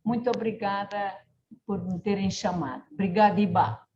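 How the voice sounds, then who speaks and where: A woman's voice: medium pitch, steady tone, moderate pace, a little mellow. A woman from Mozambique with a European Portuguese accent, speaking at an online panel, hesitant with fillers and self-corrections. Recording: dull lo-fi video call audio.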